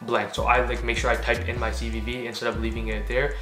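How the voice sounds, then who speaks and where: Fast-talking young man in an echoey room. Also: medium pitch, little pitch movement, a bit bright, soft background music. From Canada. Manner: quick and chatty, many fillers like "you know". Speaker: sneaker vlogger. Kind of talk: casual how-to talk to camera. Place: small home room with a desk.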